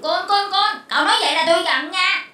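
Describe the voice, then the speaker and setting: A woman voicing a huffy, boastful talking smartwatch in an animated cartoon. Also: extremely high pitch, quick pace. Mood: offended. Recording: echoey, distant, faint noise.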